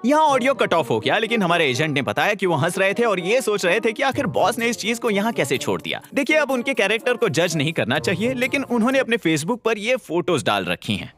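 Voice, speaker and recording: A man narrating a scripted video in a playful, animated storytelling manner. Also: high voice, brisk, few pauses, soft background music.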